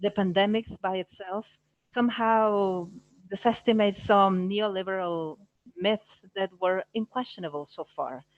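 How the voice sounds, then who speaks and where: Dull dry audio, halting woman interpreter. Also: medium pitch, dry recording. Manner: halting, phrase by phrase, self-correcting. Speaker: live interpreter voicing panelists. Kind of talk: live simultaneous interpretation of a panel discussion.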